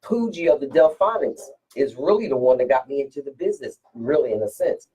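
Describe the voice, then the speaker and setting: A woman with a deep, strong voice, a singer from Philadelphia, telling stories in a casual radio interview with lots of restarts and repeated words. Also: animated, fairly quick, dark tone, breathy edge, far from the mic, poor audio. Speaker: guest singer.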